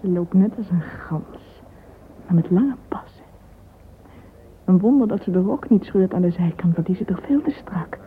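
Actress as self-absorbed young woman, radio play. Confiding, breathy, whispery inner voice, thin noisy recording. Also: on the low side, expressive, moderate pace, lightly acted. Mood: catty, scornful. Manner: intimate, confiding. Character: dreamy, vain, catty romantic young woman musing privately. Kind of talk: radio drama interior monologue. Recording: tinny, hissy old radio recording.

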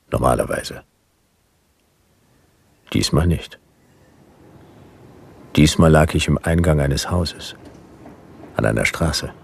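An actor playing a laconic, world-weary, befuddled private detective, narrating a hard-boiled radio drama in clipped, deadpan sentences. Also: male voice, extremely low pitch, creaky, slightly rough, full character voice. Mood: dry, bemused. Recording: music behind the voice.

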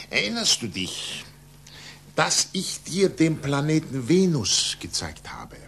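Fervent male scientist, lightish pitch, sweeping intonation, theatrical forties German radio play mentor. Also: big pitch swings, slow, a little hiss or hum, exaggerated voice. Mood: patient, intent. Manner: theatrical, emphatic, swinging from hushed to exultant. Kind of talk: 1940s German radio drama acting.

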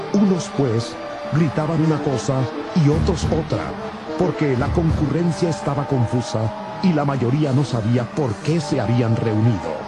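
A man narrating scripture from a script for a dramatized audio Bible. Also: medium pitch.

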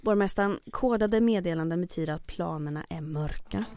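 A woman's voice: fairly low pitch, warm tone, no room sound, faint music behind, natural voice. Woman playing an earnest secretary, dry close sound. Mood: grave, clipped. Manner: earnest, businesslike. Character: efficient, discreet mayor's secretary, secretly in the resistance. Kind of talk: comic radio drama acting.

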